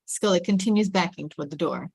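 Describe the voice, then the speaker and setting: A woman's voice: fairly low pitch, quick pace, creaky, slightly rough, no echo. A woman reading stage directions as the narrator of a scripted drama table read.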